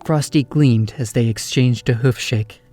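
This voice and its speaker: A man narrates a scripted story in a mid-pitched voice. He reads storybook prose as the narrator of an audio drama. Gentle music runs faintly behind him. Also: a little slow.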